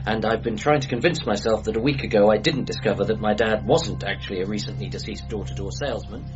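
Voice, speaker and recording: An actor playing an anxious, eccentric, earnest son in a radio comedy drama, with a British accent and hesitant starts. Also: male voice, fairly low pitch, fast, close to an everyday voice. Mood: nervous, earnest. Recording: slightly muffled, music behind.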